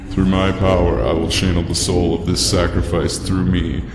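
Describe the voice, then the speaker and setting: A man's voice: low-pitched, level tone, moderate pace. A man voicing an imperious, soul-hungry demon deity in a fantasy audio drama, speaking in a grandiose, menacing way. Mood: commanding. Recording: cavernous echo, long reverb. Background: loud music.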